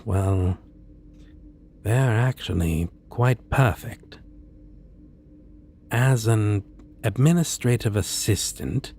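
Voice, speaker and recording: A suave male supervillain, acted by a man, speaks softly in an ASMR roleplay. His low voice moves slowly, with deliberate pauses and expressive swings in tone. He is courteous yet menacing, over faint background noise. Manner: measured, soft-spoken, deliberate pauses. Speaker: voice actor.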